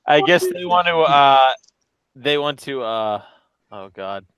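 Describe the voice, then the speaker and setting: A man's voice: mid-range voice, expressive, slow, fairly bright tone, clean tone, dry recording, poor audio. A man giving casual, joking commentary on a stream in a derisive, mocking manner.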